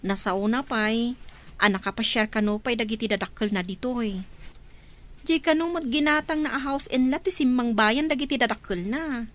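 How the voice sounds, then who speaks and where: An actress plays a grown daughter living away from her family. Her voice is very high, with an Ilocano accent. She speaks in radio drama style, defending her choices. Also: moderate pace, lightly acted. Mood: casual, planning. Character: grown daughter working away, defensive about house purchase.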